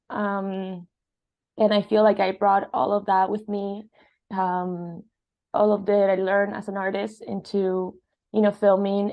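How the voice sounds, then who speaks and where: Female interview guest, filmmaker from Argentina, mid-pitched with noticeable vocal fry, earnest and reflective. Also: darkish tone. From born in Argentina, grew up in Spain and Italy. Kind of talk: conversational interview, personal reflection.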